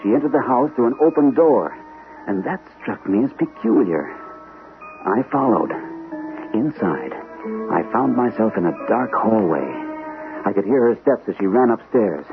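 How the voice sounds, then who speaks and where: Radio drama captain: shell-shocked, gallant, insistent, mid-range voice, narrow tinny recording with music. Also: male voice, mid-range voice, lively intonation, moderate pace, creaky. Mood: haunted narrating. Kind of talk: old-time radio drama acting with first-person narration.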